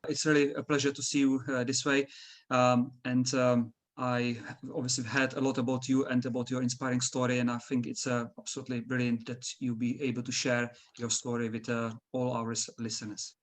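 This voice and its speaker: A man's voice: mid-range voice, little pitch movement, fairly quick. A man interviewing a guest, asking questions politely and hesitantly with many fillers.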